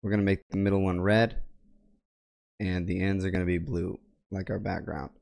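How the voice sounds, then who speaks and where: A young man talks through a software tutorial in a low, raspy voice, because he is sick. He gives plain, methodical steps and clears his throat often. He is recorded at home on a boom mic with a headset. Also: flat delivery, moderate pace. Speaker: tech tutorial creator. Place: home gaming room, indoors. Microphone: boom mic on arm with headset.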